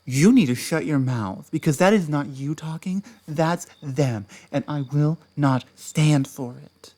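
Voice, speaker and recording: A woman voicing an obsessive, possessive ex-partner in an audio roleplay, speaking softly and dropping into whispers before flaring up. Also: low voice, expressive, measured pace, a little hiss or hum. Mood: angry. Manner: soft-spoken, drops into whispers, flares up. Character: obsessive possessive ex-partner, just released, menacing.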